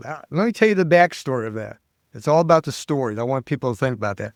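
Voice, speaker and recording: An older American man, a public speaking coach, chatting as an interview guest and telling stories with lively quoted voices. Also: medium pitch, fast, no room sound, studio quality. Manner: animated storyteller, acts out quoted voices. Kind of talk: casual interview chat.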